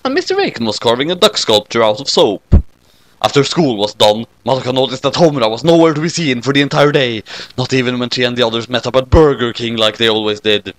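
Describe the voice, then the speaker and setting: Animated man narrating a comic story. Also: mid-range voice, fast talker, no echo. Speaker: narrator reading fan fiction.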